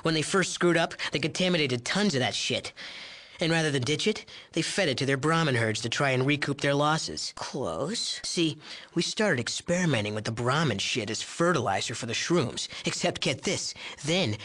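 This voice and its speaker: Smug, swooping young drug chemist. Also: male voice, fairly high pitch, lively intonation, normal speed, fairly bright tone. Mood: smug, bragging. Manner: smug, sarcastic, stammers when flustered. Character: cocky, amoral young male drug chemist genius, leering and whiny. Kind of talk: video game character dialogue.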